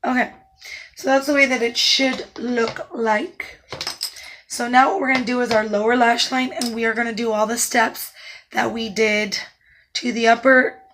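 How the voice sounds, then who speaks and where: A woman with a naturally raspy voice that is hoarse and cutting in and out, pitched a touch high. She talks through a makeup tutorial in a calm, instructive way. Now and then she drops into soft asides while she works. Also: moderate pace, a little hiss or hum. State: hoarse, voice going in and out. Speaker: makeup artist.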